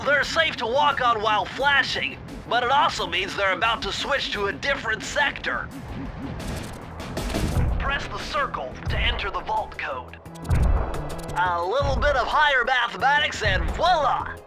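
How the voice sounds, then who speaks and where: A man voicing a nerdy, jittery, brainy sidekick in a cartoon video game, explaining things in a bookish, excitable, fussy way. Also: very high voice, a little slow, music in the background. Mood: eager, instructive.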